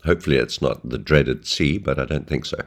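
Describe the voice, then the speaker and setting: A man with a hoarse voice from a flu, giving a relaxed weekly market update over a chart, with many ums and uhs. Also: a bass voice, brisk. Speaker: forex trading analyst. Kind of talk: screen-share market update.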